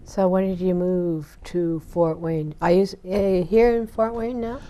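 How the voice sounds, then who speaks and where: An older woman hosts a local TV talk show. She speaks slowly in a slightly low voice, chatty and full of small reactions. She is recorded on a lapel mic in a small studio. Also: steady tone, dark tone. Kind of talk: casual interview chat.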